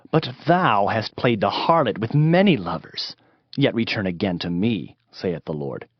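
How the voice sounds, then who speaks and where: Solemn man dramatically reading scripture, widely varied pitch. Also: no echo. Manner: solemn, declamatory. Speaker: narrator. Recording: dull band-limited audio, music behind. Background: music.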